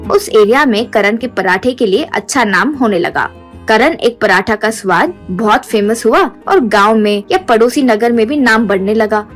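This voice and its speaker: A woman narrating a cartoon moral story in a steady storytelling cadence. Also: a little high, moderate pace, soft background music.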